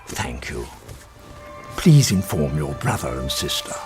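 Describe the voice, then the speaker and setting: An actor playing a kindly, logical old professor, speaking deliberately and formally in a radio drama. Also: male voice, extremely low pitch, sing-song, over loud music, heavily acted. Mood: polite, courteous. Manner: deliberate, formal, pedantic, gently exasperated.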